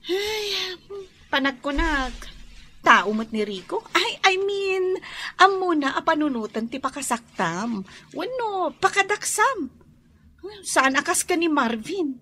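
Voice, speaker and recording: A bubbly, caring young best friend in a radio drama. She speaks high and slowly in an Ilocano accent, stretching words expressively. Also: very animated, bright, forceful tone, exaggerated voice. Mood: concerned, advising.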